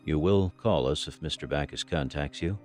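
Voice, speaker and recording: Very deep-voiced, stern federal agent. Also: female voice, moderate pace, a little mellow, soft background music. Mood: grave, firm. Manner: formal, clipped interrogator. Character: stern, businesslike female FBI special agent. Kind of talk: audio drama acting.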